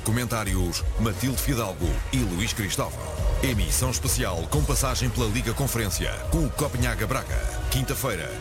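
A man's voice: mid-range voice, animated, brisk. A man with a European Portuguese accent, voicing radio promos in a punchy announcer style. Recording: loud music bed.

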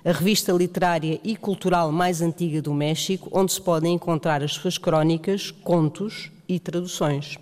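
A woman moderator, smoothly reading out introductions of the speakers. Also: fairly low pitch, steady tone, moderate pace, hardly any pauses, darkish tone. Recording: reverberant hall.

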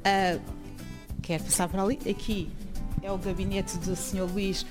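A young woman from Guinea-Bissau speaks as a volunteer giving an informal guided explanation. Her pitch is average, and she repeats phrases for emphasis. Music plays clearly behind her. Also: flat delivery, moderate pace. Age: young adult. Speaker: association volunteer.

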